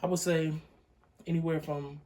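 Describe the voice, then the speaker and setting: A man's voice: on the high side, little pitch movement, normal speed, mellow, slight background noise. Laid-back young man cooking and chatting. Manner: laid-back, chatty, addresses viewers as y'all. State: morning voice, just woke up. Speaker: cooking channel host. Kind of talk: casual recipe demonstration. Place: living room with a pot on a hot plate.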